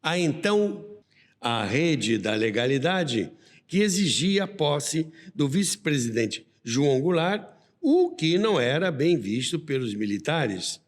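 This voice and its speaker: Reverberant, slow Brazilian male narrator. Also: fairly high pitch, big pitch swings. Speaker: host and narrator of a radio history show. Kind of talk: scripted documentary narration. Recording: long reverberant hall sound.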